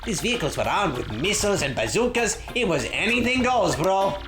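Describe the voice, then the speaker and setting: Animated, boastful cartoon hero, acted by a podcaster. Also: mid-range voice, fairly quick, close to an everyday voice. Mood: excited, bragging. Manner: bragging, slangy storytelling, often addressing listener as bro. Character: boastful, excitable cartoon hero telling tall adventure tales. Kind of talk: dramatic read-aloud of video game dialogue. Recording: roomy recording with loud music.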